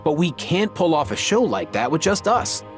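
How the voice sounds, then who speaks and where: A man playing an earnest, kindly young schemer in a video game, casual and expressive as he explains. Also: on the high side, normal speed. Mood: earnest, persuasive. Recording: light music behind.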